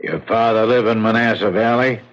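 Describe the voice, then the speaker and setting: An American actor playing a matter-of-fact big-city police lieutenant in a 1970s radio drama, businesslike and probing. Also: male voice, on the low side, moderate pace, lightly acted. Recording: dull 1970s radio broadcast.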